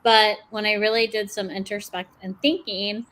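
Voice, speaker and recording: Mom-aged woman on a video call, chatty, mid pitch, laughs a lot. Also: fairly even intonation, normal speed, a bit bright. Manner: chatty, laughs between thoughts. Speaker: panelist, podcast host. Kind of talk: casual panel chat.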